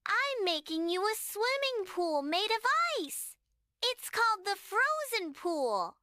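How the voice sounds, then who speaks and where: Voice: very high voice, sing-song, takes it slowly, pushed and bright, dry recording, studio quality, full character voice. A performer voicing a playful, kind little girl in a children's bedtime story, proud and giggly. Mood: eager.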